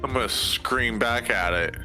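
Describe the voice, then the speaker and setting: A man's voice: medium pitch, measured pace, bright, forceful tone, faint music behind. A man casually roleplaying as a player in a tabletop game.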